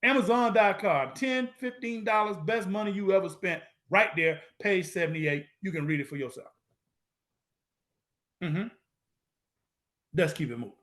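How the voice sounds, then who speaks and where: Male lecturer with a high voice, preachy and emphatic, hammers points by repetition and rhetorical questions, casual grammar. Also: moderate pace, long pauses, a bit bright. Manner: street-style informal grammar. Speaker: lecturer on Moorish American history.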